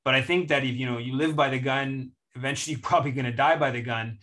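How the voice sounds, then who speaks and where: A man in his thirties speaks from home on a video call, measured and steady with a few fillers. His voice has a bright, forward edge. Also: medium pitch. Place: home room, indoors. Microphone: webcam video call.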